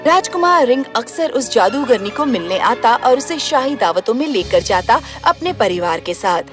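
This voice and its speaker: A woman's voice: a little high, big pitch swings, normal speed. A woman narrator reading a scripted children's fairy tale in storytelling style. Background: music.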